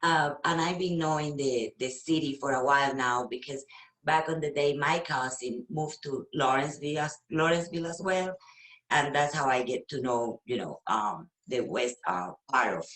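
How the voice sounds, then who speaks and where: A Venezuelan woman, a real estate agent whose first language is Spanish, talking casually in an interview with many fillers and pauses. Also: very deep voice, a bit bright, echoey room, low-quality recording. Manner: hesitant, with frequent fillers and laughs.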